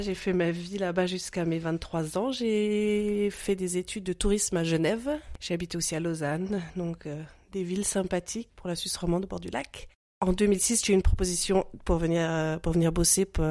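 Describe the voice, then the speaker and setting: Invitée suisse romande, la trentaine, débit animé et rieur, voix grave au son très sec. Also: female voice, lowish voice, no echo. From French-speaking Switzerland. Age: 30s. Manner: lively, laughs often, restarts. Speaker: interview guest. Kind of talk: casual chat.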